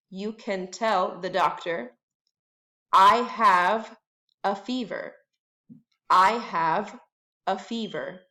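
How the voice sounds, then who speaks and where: A woman teacher at home giving a bilingual lesson, saying each English phrase slowly several times and then translating it into Spanish. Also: mid-range voice, pushed and bright. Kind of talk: bilingual classroom lesson, slow repeated drills.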